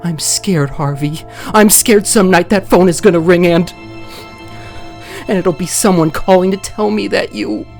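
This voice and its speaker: A woman acting female roles in a comic book dub, from anxious wife to sly thief, in a measured, dramatic way. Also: fairly low pitch, a little mellow, soft background music. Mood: frightened, tender.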